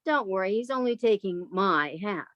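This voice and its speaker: A woman's voice: medium pitch, lively intonation, moderate pace, no echo, muffled recording, natural voice. A woman playing a resentful younger sister breaking free, cool and pointed in an old time radio drama style. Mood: coolly triumphant.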